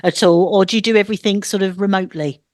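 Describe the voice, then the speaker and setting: Woman radio host; mid-pitched voice, friendly and upbeat questions, dry studio sound. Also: quick pace, dry recording. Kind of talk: radio interview.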